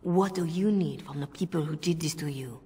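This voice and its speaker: Revered mother played by a woman, very low soft voice, solemn and persuasive game dialogue in a reverberant hall. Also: lightly acted. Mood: resolute. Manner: measured, solemn. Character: composed, earnest Orlesian revered mother, gently persuasive. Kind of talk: video game cutscene acting. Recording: long reverberant hall echo.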